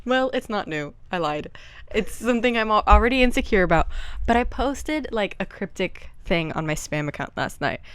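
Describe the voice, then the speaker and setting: A woman's voice: mid-range voice, animated, normal speed, a bit bright, clean tone, no room sound. A young woman co-hosting a podcast in casual chat, rambling and giggling, with lots of "like"s. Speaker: podcast co-host. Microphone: headphones and mic.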